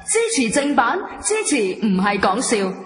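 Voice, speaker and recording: A woman's voice: fairly high pitch, big pitch swings, normal speed. A woman reading a scripted radio station announcement. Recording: echoey long reverb, music behind.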